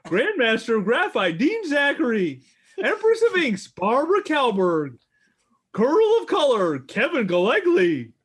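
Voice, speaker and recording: Host hyping up the cast all mock-grand, pitch swinging up and down. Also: huge pitch swings, measured pace, husky. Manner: mock-grand announcing, laughing between lines. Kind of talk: introducing a comedic reading.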